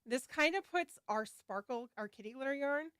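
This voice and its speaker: A woman hosting a livestream talks in a fairly high, smooth voice, slightly nasal from a lingering head cold. She rambles along in a chatty, scattered way, laughing and swearing casually. The sound is close and dry. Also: lively intonation, moderate pace, fairly bright tone, no room sound. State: getting over a head cold. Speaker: yarn shop owner hosting a launch livestream.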